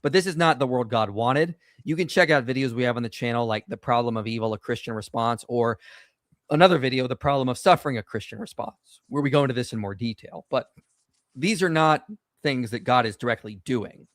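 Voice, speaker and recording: A confident man hosts a call-in discussion and lays out his arguments with emphasis and a lecturing tone. His voice sits at a medium pitch. The recording is dry and close, with no room sound. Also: a little fast, a bit bright, dry recording. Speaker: host of a call-in show.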